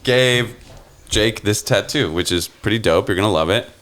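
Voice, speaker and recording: A relaxed man in his thirties co-hosts a casual podcast chat. His voice sits on the low side, and he laughs easily between his lines. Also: moderate pace. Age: 30s. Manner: laid-back, laughs often. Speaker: podcast co-host.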